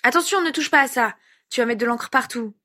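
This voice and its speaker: A woman voicing a tough female law officer who softens around a child, in a read-aloud story. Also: a little high, fairly quick, pitched above the performer's own voice. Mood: softened, gentle.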